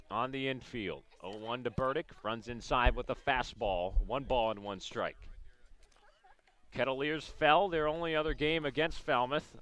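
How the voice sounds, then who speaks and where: Bright, crisp male baseball play-by-play announcer. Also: mid-range voice. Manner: clipped descriptive calls of the action. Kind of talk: live radio sports commentary. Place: live at a baseball game.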